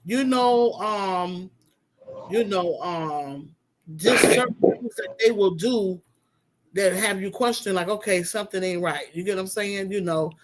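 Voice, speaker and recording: A woman in her fifties chatting on a panel, talking in a relaxed, rambling way with lots of affirmations. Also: medium pitch, a little slow, slight background noise, poor audio. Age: 50s. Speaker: panel co-host. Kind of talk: casual chat.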